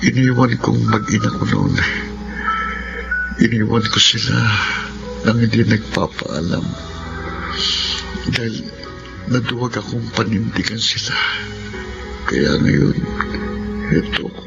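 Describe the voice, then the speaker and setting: A Filipino man playing a sick, guilt-ridden father in a radio drama, halting and remorseful. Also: on the low side, vocal fry. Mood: remorseful, sorrowful. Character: sick, guilt-ridden father who abandoned his family. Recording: muffled radio drama, loud music behind.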